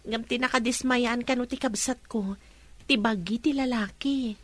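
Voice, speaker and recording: A woman's voice: on the high side, moderate pace, fairly bright tone. A Filipino woman playing a gossipy, playful grown daughter in a radio drama. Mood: gossipy, teasing. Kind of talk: Ilocano radio drama acting.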